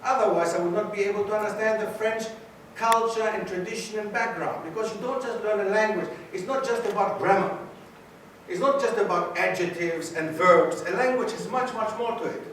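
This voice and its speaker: Male guest lecturer, fairly high voice, quizzes the crowd, recorded far off in a very reverberant hall. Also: a little fast, fairly bright tone, a little hiss or hum. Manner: questions the audience, repeats key points for emphasis. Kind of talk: university society lecture.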